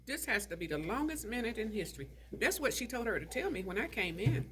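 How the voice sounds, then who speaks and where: A woman's voice: fairly high pitch, sing-song, fast talker, fairly bright tone, slight background noise. A woman reading the part of a haughty, tart, nostalgic older society lady in a stage play. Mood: indignant. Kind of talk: stage play table read.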